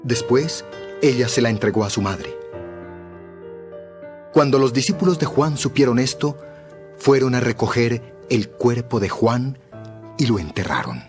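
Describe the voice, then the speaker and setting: A man narrating a dramatized Bible story in a measured, expressive storytelling style. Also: medium pitch, soft background music.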